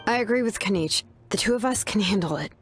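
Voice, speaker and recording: A woman's voice: mid-range voice, lively intonation, fast, lightly acted. Woman playing a confident, easygoing young adventurer woman. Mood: concerned, loyal. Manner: casual, assured. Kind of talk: scripted video game voice acting. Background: game music.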